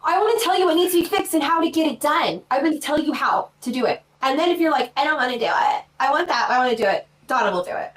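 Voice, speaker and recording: A woman in her forties talking as a podcast guest in a casual live chat, blunt, brash and quick to mock herself. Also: high-pitched, lively intonation, slight background noise, low-quality recording. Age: 40s. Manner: blunt, brash and self-mocking.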